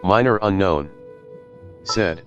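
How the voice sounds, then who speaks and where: A man's voice: deep voice, animated, slow, a bit bright, highs cut off. A man chatting casually as he streams a video game. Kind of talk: casual livestream chat. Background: game music.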